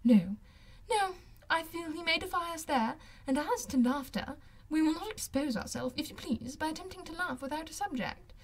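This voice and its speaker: A woman's voice: sits high, lively intonation, normal speed, voice pushed up. A woman narrator acts out a coquettish, haughty young lady of society in an audiobook. She is affected and exclamatory, drawing out her words as if every remark were scandalous. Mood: affected protest. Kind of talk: audiobook character voice.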